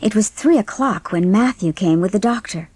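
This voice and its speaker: Husky woman narrating a storybook. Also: mid-range voice, expressive, normal speed, a little mellow, dry recording.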